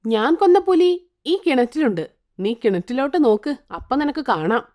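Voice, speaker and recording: A woman's voice: sits high, expressive, normal speed, darkish tone. A woman voicing a brave, defiant pregnant young woman in a cartoon. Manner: bold, defiant.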